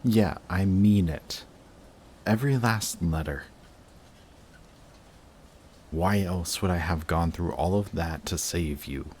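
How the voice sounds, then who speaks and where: Man acting a very deep, slow-spoken lovestruck villain. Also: a little mellow, a little hiss or hum. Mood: earnest, defensive. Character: lovestruck male villain, guarded, flustered, confessing love to rival. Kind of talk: intimate audio roleplay acting.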